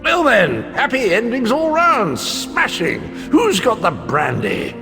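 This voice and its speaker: A breezy British fop, high-voiced with wildly swooping intonation. He sounds chirpy, flippant and posh, a game trickster speaking in a reverberant hall. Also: huge pitch swings, takes it slowly, bright, forceful tone, heavily acted. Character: jaunty, foppish, breezy gentleman trickster. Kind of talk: video game character acting. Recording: hall reverb, music behind.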